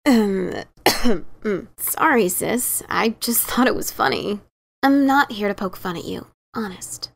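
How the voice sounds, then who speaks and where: A woman's voice: medium pitch, slow pace, creaky. A woman voicing a brash, teasing adventurer pony mare with a scratchy voice in a dramatic reading. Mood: sheepish, wistful. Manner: cocky, teasing, informal. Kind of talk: dramatic reading of a fan story.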